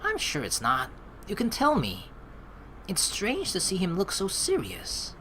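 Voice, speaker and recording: A young man hosting a game playthrough, reading dialogue aloud and adding casual, slangy, expressive commentary. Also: sits high, measured pace, slight background noise, poor audio. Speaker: let's play host. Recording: game music behind the voice.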